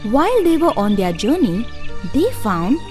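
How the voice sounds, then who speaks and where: A woman tells scripted fairy tales for children in a high voice. Her intonation is lively and varied, with soft music behind her. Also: unhurried. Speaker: storyteller. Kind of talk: scripted children's story narration. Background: faint music.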